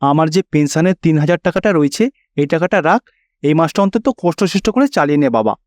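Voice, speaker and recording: A man voicing a kind old pensioner father with a gentle, reassuring manner in a cartoon. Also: a little high, expressive, brisk, dry recording, clean studio sound, natural voice.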